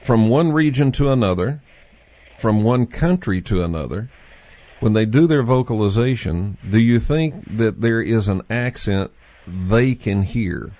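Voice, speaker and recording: A man's voice: fairly low pitch, lively intonation, a little slow, warm tone, lo-fi sound. A man with a Texas accent hosting a call-in talk show, hesitant at first and then emphatic. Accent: Texan. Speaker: host. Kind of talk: casual chat on a call-in show.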